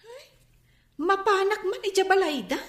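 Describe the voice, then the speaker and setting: An actress playing a kindly, persuasive young shop-owning cousin in a radio drama. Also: female voice, extremely high pitch, lively intonation, moderate pace, a bit bright. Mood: friendly, concerned. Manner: coaxing, pleading. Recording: echoey room sound.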